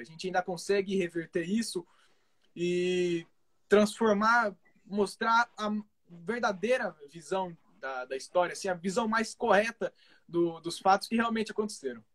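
Polite male radio host, high voice. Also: moderate pace, fairly bright tone. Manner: affirming, polite, explanatory. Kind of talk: live interview over a video stream.

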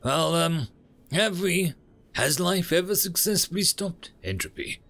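A man's voice: high-pitched, measured pace, vocal fry, fairly bright tone, pitched above the performer's own voice, heavily acted. A man voicing curious, earnest humans who question insistently, in a story reading. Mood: puzzled.

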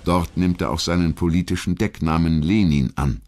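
A man reading a scripted historical documentary narration in a measured, articulate way. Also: low-pitched, a little mellow.

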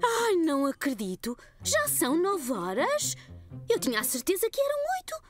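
Voz de mãe animada e brincalhona, aguda e cheia de melodia. Um fundo musical suave acompanha. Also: female voice, very high-pitched, moderate pace, faint music behind, exaggerated voice. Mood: cheerful. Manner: warm, expressive, laughs easily. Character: kind, playful cartoon mother.

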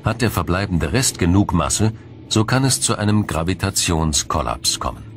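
A man reading scripted documentary narration in a measured, explanatory manner. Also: deep voice, lively intonation, slight background noise.

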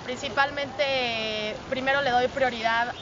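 A woman's voice: high voice, fairly even intonation, unhurried. A young woman with a Mexican accent, answering interview questions earnestly with some restarts. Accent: Mexican Spanish. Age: young adult. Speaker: soccer player. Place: outdoors by a pond. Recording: dull lo-fi sound, crowd and noise.

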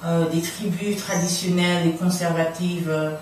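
A middle-aged woman from the Democratic Republic of the Congo telling personal stories casually as an interview guest, in an animated way. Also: fairly low pitch, normal speed. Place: small radio studio room, indoors. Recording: very echoey room, distant, faint noise.

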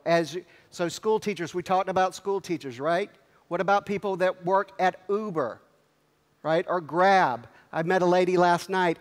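A middle-aged man with a headset mic, giving an energetic motivational speech on a large convention stage and firing questions at the audience. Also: sits high. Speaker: company executive speaking at a convention. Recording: long hall reverb.

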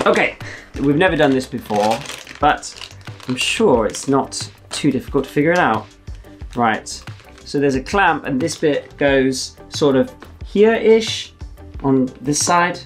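A man's voice: mid-range voice, lively intonation, a little slow, soft background music. A young man chatting casually and explaining things to camera as a vlogger, with an enthusiastic, exclaiming manner. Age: young adult. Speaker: travel vlogger. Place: wood-panelled living room.

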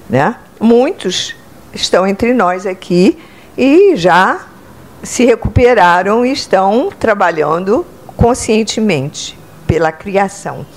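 An older Brazilian woman, a psychotherapist, giving a spiritual lecture standing in an auditorium, talking into a handheld microphone and often checking in with her listeners. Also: mid-range voice. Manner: conversational, checks in with "entende?" and "né?"; speaks with lively hand gestures, standing. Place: club auditorium, indoors.

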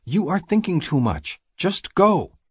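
A man's voice: high-pitched, big pitch swings, unhurried, slightly rough, no room sound, studio quality, pitched above the performer's own voice, heavily acted. A man voicing a bossy, blunt young sister in an audiobook, lecturing in stiffly formal phrases and commands. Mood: bossy, exasperated.

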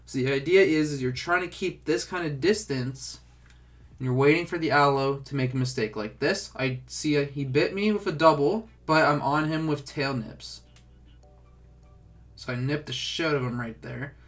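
A man's voice: a little high, normal speed. A young man with a stuffed-up, congested voice, casually talking through a game tutorial with lots of filler words. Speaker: gaming tutorial host.

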